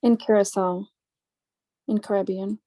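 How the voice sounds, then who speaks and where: A woman from New Orleans answers practice interview questions at a middle pitch. She hesitates often and fills pauses as she works out her points, and the recording is dry and close. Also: little pitch movement, mellow, dry recording. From born in Pakistan, grew up in New Orleans. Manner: hesitant, many ums. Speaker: medical graduate interviewee.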